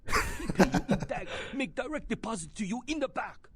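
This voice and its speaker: A man playing a shady older Chinese gangster in a video game, crude and scheming, speaking broken English with a Chinese accent in a gravelly voice. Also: very high-pitched, very animated, fairly quick, a crowd in the background. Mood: scornful, bargaining. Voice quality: gravelly, husky.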